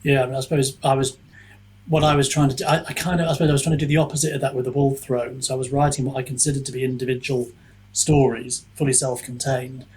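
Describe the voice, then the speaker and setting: A man's voice: medium pitch, level tone, fast talker, a little mellow, husky, poor audio. A man speaking as a podcast guest in casual discussion, reflective and hesitant as he thinks aloud. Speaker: podcast guest, author and book reviewer.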